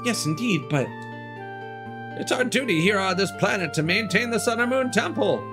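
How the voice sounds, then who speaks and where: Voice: medium pitch, lively intonation, normal speed, pitched above the performer's own voice, natural voice. A fretful temple guardian out of a video game, put on by a streamer reading the lines. The delivery is dramatic and worried, rising and falling widely. Music plays behind the voice. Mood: solemn, worried. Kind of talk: reading game dialogue aloud.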